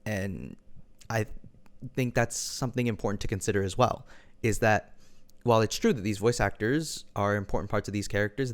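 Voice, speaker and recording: A man with a smooth, clear voice sitting a little low in pitch. He talks through an opinion monologue as an online commentator. He stays measured and keeps hedging his points with personal qualifiers.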